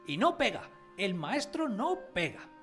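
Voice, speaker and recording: A man's voice: high voice, sing-song, unhurried, fairly bright tone, faint music behind, heavily acted. A man playing a curious, innocent, eager schoolboy in a radio drama.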